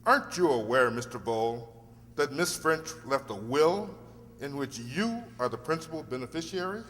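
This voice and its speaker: A man playing a probing defence lawyer in an amateur radio drama, speaking formally and deliberately. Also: medium pitch, lively intonation, fairly bright tone, close to an everyday voice. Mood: challenging. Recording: echoey hall, faint hum.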